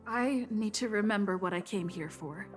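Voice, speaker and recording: An actress playing a dry, skeptical young woman in a video game, speaking in a deadpan manner. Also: medium pitch, normal speed, over music, close to an everyday voice. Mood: wry.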